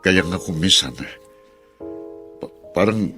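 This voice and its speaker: A man playing a middle-aged ex-convict father in a Filipino radio drama, halting, emotional and self-sacrificing as he pleads. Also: sits low, over music. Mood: resigned, hurt.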